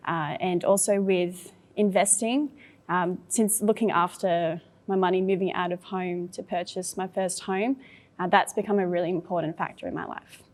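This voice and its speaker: A young woman with a mid-range voice talks about her small businesses. She is chatty and casual, using lots of ums and uhs as she goes. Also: mid-range voice. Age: 20s. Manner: chatty with frequent fillers. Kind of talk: casual self-introduction.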